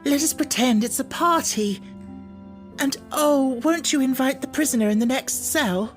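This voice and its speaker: A woman voicing an imaginative, kind young girl with an excited, earnest manner in an audiobook. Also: high voice, normal speed, soft background music, voice pushed up, lightly acted. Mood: excited, playful. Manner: excited, earnest, make-believe playfulness. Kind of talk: audiobook character acting.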